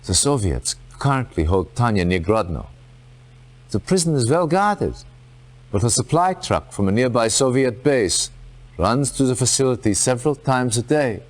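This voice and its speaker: A man playing a stern, resolute military commander in a video game, speaking in a formal, dramatic, deliberate way. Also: mid-range voice, animated, natural voice. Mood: urgent.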